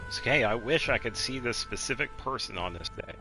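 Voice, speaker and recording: A man's voice: mid-range voice, expressive, fairly quick, bright, forceful tone, poor audio. A man giving casual video game commentary, thinking aloud in a rambling way as he plays. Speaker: streamer playing a video game. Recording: game music faintly behind.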